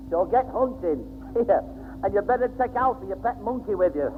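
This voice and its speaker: A grumpy, gloating man in a 1960s radio comedy. His high voice swings widely as he blusters and sneers. It comes through a thin, noisy old broadcast. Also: lively intonation, moderate pace, narrow, tinny audio. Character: grumpy, gloating, bullying father and neighbour.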